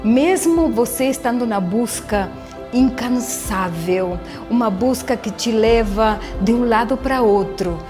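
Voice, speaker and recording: A middle-aged woman from Argentina with an Argentine Spanish accent, whose native language is Spanish, telling her personal faith story in an earnest, halting way with restarts and repeated words. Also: a little high, animated. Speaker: church member giving testimony. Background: soft background music.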